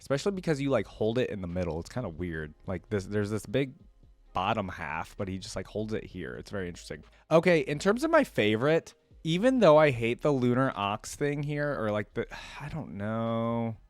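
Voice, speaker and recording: A man's voice: mid-range voice, lively intonation, normal speed, no echo. A man giving casual reaction commentary as a video host, rambling and thinking aloud.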